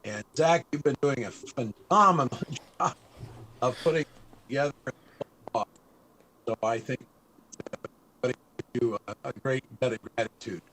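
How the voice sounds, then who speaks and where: A man hosting an interview over a video call, speaking off the cuff in a halting, courteous and formally appreciative way. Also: mid-range voice, a bit bright, slight background noise, rough recording. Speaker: panel host and interviewer. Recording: video call with occasional breakup.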